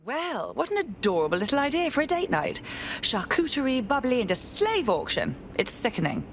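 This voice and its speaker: A woman's voice: sits high, sing-song, brisk, creaky, slight background noise, heavily acted. An actress playing a wry, indignant female resistance operative in a video game, with an arch, sarcastic delivery. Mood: disgusted.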